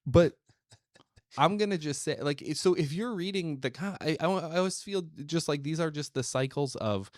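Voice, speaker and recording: A man's voice: on the high side, expressive, moderate pace, no room sound, studio quality. A man co-hosting a casual podcast discussion, rambling and thinking aloud as he goes. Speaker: podcast co-host. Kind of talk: casual discussion of an old radio serial.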